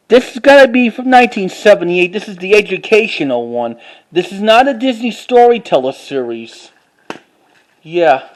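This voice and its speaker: High-voiced man in a halting show-and-tell. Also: darkish tone, rough recording. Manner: halting, repetitive, informal delivery. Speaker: collector showing items to camera. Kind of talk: casual show-and-tell vlog.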